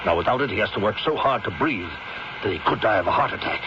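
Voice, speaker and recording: An actor playing a stern, concerned doctor in a 1970s radio drama, speaking with authority and urgency. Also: male voice, fairly low pitch, lively intonation, fast talker, natural voice. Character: stern, concerned male family doctor, commanding with careless nurses. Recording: dull, noisy old radio broadcast recording.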